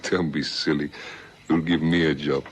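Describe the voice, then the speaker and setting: An actor playing a wily, unruffled ex-convict with a smooth, folksy, evasive way of talking in a vintage radio crime drama. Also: male voice, a bass voice, flat delivery, normal speed. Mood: wry, guarded. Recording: vintage radio recording, faint noise.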